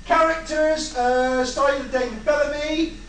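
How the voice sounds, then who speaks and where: A man's voice: very high voice, fairly even intonation, moderate pace, fairly bright tone, slight background noise. A British man hosting an informal audience brainstorm, exasperated, sweary and bantering. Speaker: host taking audience suggestions. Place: large echoey indoor event room with audience. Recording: echoey room, far mic, crowd chatter.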